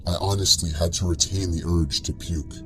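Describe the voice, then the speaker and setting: Deep-voiced man narrating horror stories. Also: steady tone, moderate pace. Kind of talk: scripted first-person scary story narration. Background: music.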